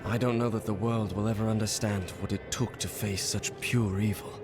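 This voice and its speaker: A man's voice: fairly low pitch, steady tone, normal speed, music in the background, lightly acted. A man playing an earnest, idealistic scholar of an ancient order in a video game, reflective and proud. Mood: awed. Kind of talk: video game cutscene acting.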